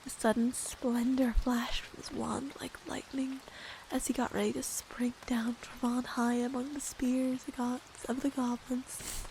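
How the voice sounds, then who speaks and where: A woman with a stuffy nose reading a story aloud in a soft, gentle ASMR whisper. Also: a little high, level tone, unhurried, slight background noise, low-quality recording.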